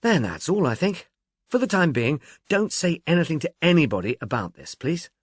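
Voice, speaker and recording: A man voicing a brisk, businesslike police inspector in an audiobook, with a dispassionate, official, probing manner. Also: fairly high pitch, big pitch swings, husky, no echo, studio quality, pitched above the performer's own voice. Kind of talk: audiobook character dialogue.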